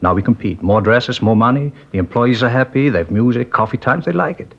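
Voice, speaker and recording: An actor playing a mild, trusting older man who owns a small dress factory, talking in a gentle, hesitant and rambling way in a 1950s radio drama. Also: mid-range voice, animated, brisk, vocal fry. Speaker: witness in a police interview. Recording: muffled vintage radio broadcast.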